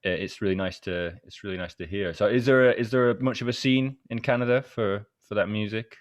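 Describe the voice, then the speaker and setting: Low-voiced, halting male interviewer. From Edinburgh, Scotland. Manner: halting, tentative, trailing off. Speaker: podcast host, interviewer. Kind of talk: casual chat.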